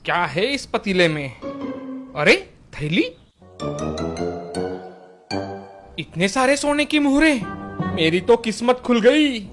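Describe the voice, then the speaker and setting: Guy voicing a greedy village butcher in a cartoon, high pitched, exaggerated, faint music under it. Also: lively intonation, unhurried, a bit bright, heavily acted. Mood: gleeful. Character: opportunistic male village butcher, obliging but greedy.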